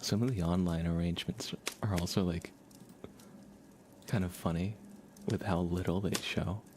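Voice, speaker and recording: A man's voice: sits low, steady tone, normal speed, background noise. A man hosting a livestream, chatting casually and thinking aloud as he goes. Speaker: livestream host.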